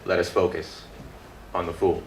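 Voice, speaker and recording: A man performing his own spoken word poetry at a live reading, in a rhythmic, dramatic delivery with deliberate pauses. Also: low voice, flat delivery, a little mellow. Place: indoor book release reading before an audience. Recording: echoey live room with background noise.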